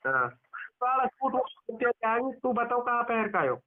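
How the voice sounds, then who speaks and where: A man's voice: very high-pitched, very animated, normal speed. A man with a Mewati accent having a casual, teasing chat over a telephone. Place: phone call. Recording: muffled telephone call recording.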